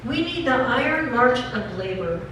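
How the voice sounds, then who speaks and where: A woman giving a dramatic reading as a resolute labor editorialist, solemn, deliberate and declamatory. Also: on the high side, big pitch swings, far from the mic. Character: resolute labor editorialist proclaiming a general strike. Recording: echoey room from afar, clear noise.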